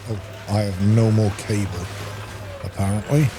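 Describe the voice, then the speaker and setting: A man's voice: lowish voice, fairly even intonation, normal speed, over music. A man giving casual commentary as he plays a video game, half talking to himself. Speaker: gameplay streamer. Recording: clearly noisy background.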